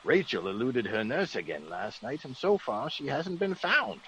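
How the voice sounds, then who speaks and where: An actor playing a fussy, sceptical British gentleman in a vintage radio drama, genteel, blustery and exclamatory. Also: medium pitch, big pitch swings, moderate pace. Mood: upset. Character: fussy, excitable, sceptical English gentleman of the manor. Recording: noisy vintage radio recording.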